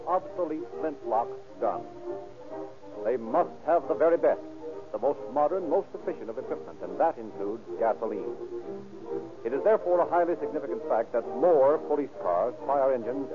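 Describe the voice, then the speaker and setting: A man announcing and narrating in a formal, declamatory 1930s radio style with careful enunciation. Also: mid-range voice. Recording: tinny old radio transcription recording.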